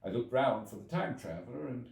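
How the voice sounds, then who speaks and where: Male audiobook narrator: theatrical, measured literary reading, very varied intonation, recorded in an echoey room. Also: medium pitch, lively intonation, vocal fry, darkish tone. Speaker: audiobook reader. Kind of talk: expressive scripted literary narration. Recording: echoey room, distant from the mic.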